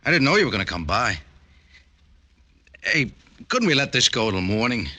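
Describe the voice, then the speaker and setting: Proud, uneasy foreman, piercingly bright voice with wide swings, defensive manner, vintage radio crime drama. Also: medium pitch, sing-song, moderate pace, full character voice. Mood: nervous. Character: uneasy, touchy, proud factory foreman, a man. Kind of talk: 1950s radio drama acting.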